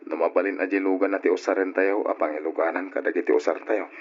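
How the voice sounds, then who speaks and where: A man's voice: sits low, flat delivery, quick pace. A man acting a domestic role in an old-time Ilocano radio drama, with an Ilocano accent. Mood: conciliatory. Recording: dull, lo-fi old radio recording.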